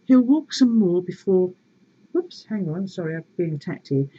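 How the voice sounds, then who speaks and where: Mellow, husky woman reading a story aloud. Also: on the low side, lively intonation, moderate pace, muffled recording. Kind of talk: read-aloud storytelling with casual asides.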